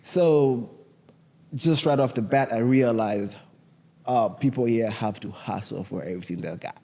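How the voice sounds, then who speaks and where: A man in his thirties with a Kenyan accent, a guest telling his story in a relaxed, casual way and often saying "you know what I mean". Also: medium pitch, a little slow, gravelly, in a large hall. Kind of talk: casual interview chat.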